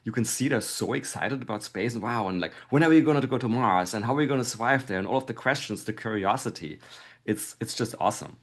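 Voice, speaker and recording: A man's voice: medium pitch, fast talker. A middle-aged man speaking casually and thoughtfully as an interview guest, often dropping "like" into his sentences. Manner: thoughtful, hedging with "like". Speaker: podcast guest, investor.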